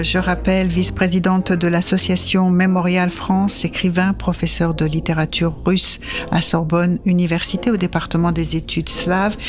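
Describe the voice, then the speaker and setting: A woman hosting a radio interview, asking halting questions and affirming the guest with short interjections. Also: lowish voice. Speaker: radio show host.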